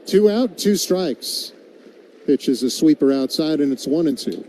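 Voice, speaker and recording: A man's voice: fairly high pitch, expressive, unhurried, mellow, low-quality recording. A man doing live radio play-by-play commentary of a baseball game as the announcer. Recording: background noise of an outdoor ballpark.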